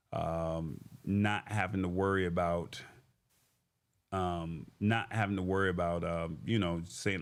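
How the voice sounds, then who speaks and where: A man hosting a tech livestream, talking informally and folksily to his viewers in a casual, chatty way. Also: deep voice. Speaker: host of a tech livestream show. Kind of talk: casual livestream chat, reading comments and news.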